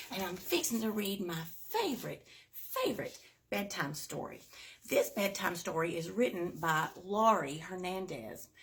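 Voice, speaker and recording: A woman reading a children's bedtime story aloud, with expressive emphasis and little asides to young listeners. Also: fairly low pitch, gravelly, slight background noise. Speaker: storybook reader at a performing arts school.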